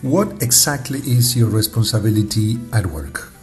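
A man hosting an interview, speaking in a measured, polite way. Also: fairly low pitch, animated. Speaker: interviewer, host. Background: faint music.

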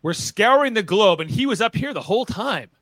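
An impatient superhero leader in a radio play, acted by a man, barks clipped orders in a high, sharp voice. His delivery is animated and urgent. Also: a little fast, no room sound, full character voice. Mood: tense, exasperated. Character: commanding, impatient young male superhero team leader. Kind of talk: live radio-play read of a superhero script.

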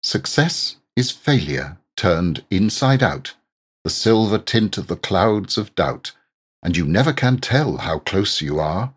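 Male poetry reader, unhurried pace, earnest exhorting delivery, measured recitation, close dry sound. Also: deep voice, sing-song, slightly rough, no echo.

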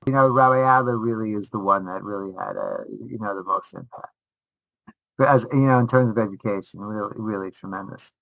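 A man's voice: on the low side, brisk, fairly bright tone, no echo, low-quality recording. A man giving casual interview answers as a rabbi and teacher, speaking emphatically and often repeating short phrases for stress. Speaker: rabbi and high school teacher.

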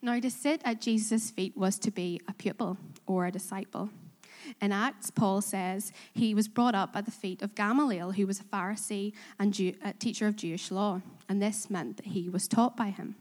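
A woman's voice: mid-range voice, fairly even intonation, normal speed, a little mellow. A woman with a Korean accent preaching a sermon in church, earnest and self-deprecating, asking the congregation questions. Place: church in Belfast. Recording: reverberant hall.

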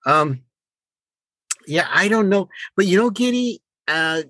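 A man co-hosting a podcast in a casual, conversational style, with slightly halting phrasing. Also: sits high, expressive, a bit bright, no room sound, poor audio. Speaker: podcast co-host. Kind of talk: casual relationship chat.

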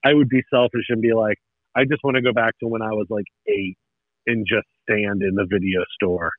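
A man's voice: fairly low pitch, steady tone, a little fast. A man in his thirties talks in a rambling, nostalgic way, often stopping to restart a thought. His voice comes through a narrow, tinny phone-line sound. There is no room echo at all. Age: 30s. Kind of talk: casual chat.

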